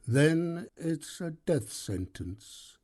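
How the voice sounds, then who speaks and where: An actor playing a weary, humble captive in a game cutscene, with a creaky voice and a halting, resigned manner. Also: male voice, on the high side, sing-song, a little mellow, exaggerated voice. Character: weary, humble captive traitor facing judgment. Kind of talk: dramatic video game cutscene acting.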